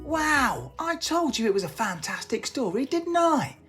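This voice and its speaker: A woman playfully and excitedly greeting young viewers as a children's storytime host. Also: sits high, a little slow. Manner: playful, exclamatory. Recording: music playing behind the voice.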